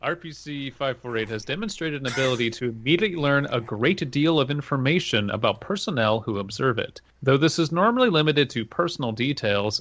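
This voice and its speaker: A man's voice: mid-range voice, fairly bright tone, dry recording, dull audio. A man co-hosting a casual podcast, reading a document aloud with swearing asides. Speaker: podcast co-host.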